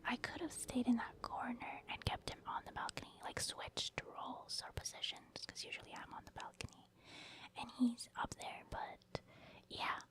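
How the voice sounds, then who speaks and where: A woman giving soft ASMR commentary over video game play, informal and hesitant as she thinks aloud. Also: a little high. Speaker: gaming ASMR video host.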